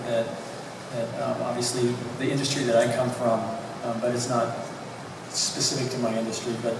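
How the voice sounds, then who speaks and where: A man speaking as a panelist, hesitant with frequent restarts. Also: mid-range voice. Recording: echoey hall from far off, noisy.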